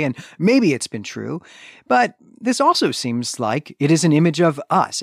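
A man's voice: mid-range voice, dry recording, clean studio sound. A man hosting a literary podcast, talking conversationally and with enthusiasm through a recap. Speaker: podcast host.

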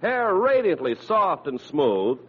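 A man's voice: sits high, big pitch swings, slow pace, breathy edge. A man announcing in the style of a 1940s radio advertisement. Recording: muffled old radio recording, music behind.

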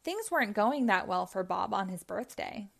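A woman reading a story aloud for young children in a deliberate storytelling style. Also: medium pitch, big pitch swings, creaky, fairly bright tone.

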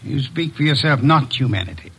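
An actor playing an imperious, grumpy, pedantic detective in a classic radio drama, with a gruff, pompous, precise delivery. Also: male voice, mid-range voice, lively intonation, fairly quick, fairly bright tone, poor audio.

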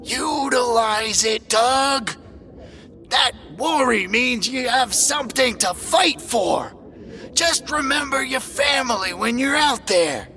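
An actress playing a loyal, earnest creature companion in a fantasy audio drama, urging in manner. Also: female voice, on the high side, expressive, slow pace, pushed and bright, soft background music, full character voice. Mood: encouraging.

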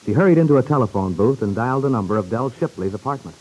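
A man's voice: medium pitch, lively intonation, very fast, a little mellow, rough recording. A man reading scripted narration for an old-time radio serial.

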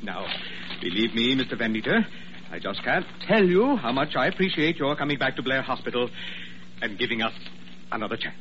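An actor playing a pompous, flustered hospital administrator who blusters and stammers in old radio drama style. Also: male voice, medium pitch, very animated, brisk, close to an everyday voice. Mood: flustered, ingratiating. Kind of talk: 1940s radio comedy-drama acting. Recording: muffled, noisy old radio recording.

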